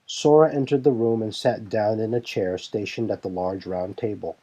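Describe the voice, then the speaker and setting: A man's voice: on the low side, steady tone, normal speed, mellow, rough recording. A man reading scripted narration for a fan fiction audio story.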